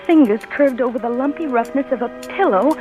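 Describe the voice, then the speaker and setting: An actress plays a frightened young wife, stammering and breathless as she narrates. Her voice sits high. It sounds like an old radio broadcast with faint music underneath. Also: fairly quick, natural voice. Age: young adult. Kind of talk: 1940s radio acting.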